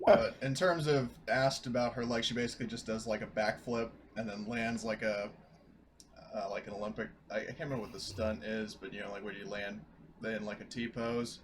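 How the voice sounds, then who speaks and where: A man's voice: fairly low pitch, fairly even intonation, fairly quick, noisy background. A man casually narrating a character's actions as a player in a tabletop roleplaying game.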